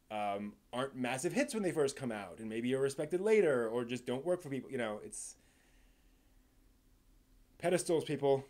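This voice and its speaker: A man's voice: medium pitch, darkish tone. A man hosts a casual live stream in a mid-range voice. He speaks off the cuff to his viewers, feeling his way through thoughts. His sentences often stall and get reworded along the way. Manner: halting, thinking aloud, rephrasing mid-sentence.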